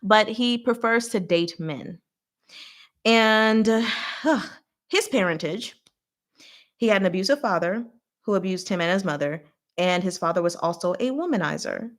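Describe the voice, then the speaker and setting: A woman hosting a casual live recap, talking in an expressive, playful, giggly way with emphatic asides. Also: mid-range voice, measured pace, pauses often. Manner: giggly, expressive, laughs often while talking. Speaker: host of a live recap and discussion. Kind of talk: casual livestream chat recapping a story.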